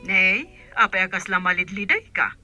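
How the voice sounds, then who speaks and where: A man's voice: high voice, moderate pace, bright, forceful tone, lo-fi sound, faint music behind, voice pushed up. A man voicing a concerned older godmother in a radio drama, questioning insistently. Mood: concerned, probing. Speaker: actor in audio drama.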